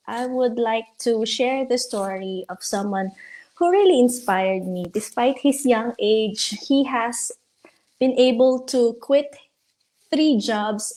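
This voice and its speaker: A woman's voice: on the high side, warm tone, poor audio. A woman hosting an online livestream interview in an encouraging, admiring manner. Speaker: interview host.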